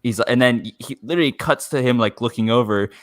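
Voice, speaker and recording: A man co-hosting a fan podcast, talking casually in a halting, rambling way as he searches for words. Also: medium pitch, no echo, clean studio sound. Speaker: podcast co-host.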